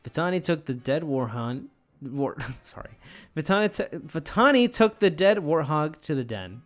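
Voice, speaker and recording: A man's voice: on the high side, very animated, moderate pace, faint music behind. A man reading fan fiction casually and comically, with wry, sweary asides.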